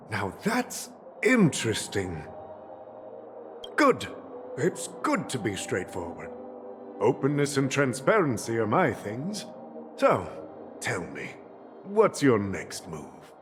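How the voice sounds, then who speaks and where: Game role: imperious, sly patriarch, unhurried and theatrical, animated intonation, pointed pauses. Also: male voice, medium pitch, faint music behind. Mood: amused, probing. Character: sly, imperious male family patriarch and merchant. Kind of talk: dramatic video game voice acting.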